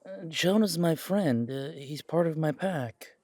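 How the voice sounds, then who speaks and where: A man's voice: on the high side, a little slow, darkish tone, no echo. A man playing an earnest, naive young werewolf in an audio roleplay, hesitant, defensive and eager to please. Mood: hurt, defensive. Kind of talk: audio roleplay drama acting.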